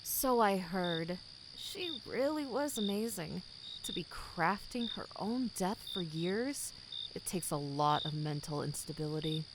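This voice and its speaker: A woman's voice: lowish voice, lively intonation, unhurried, background noise. A woman playing a cold, condescending company boss in an audio drama, formal, smug and menacing. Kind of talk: scripted audio drama acting.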